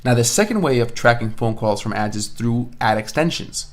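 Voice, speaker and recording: A man with a mid-pitched voice teaches an online software tutorial. He explains each step in a conversational way, thinking aloud as he demonstrates. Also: expressive, moderate pace, noisy background. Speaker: online marketing instructor. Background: voice-over on a screen recording.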